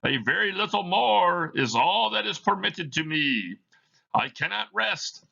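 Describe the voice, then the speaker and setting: Marley, a high, mournful wailing ghost. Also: male voice, very animated, vocal fry, bright, forceful tone, dull audio, full character voice. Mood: mournful, weary. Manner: solemn, wailing, drawn-out declamation. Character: Marley, mournful ghost of a dead businessman, tormented, ominous. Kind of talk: theatrical reading of a stage play.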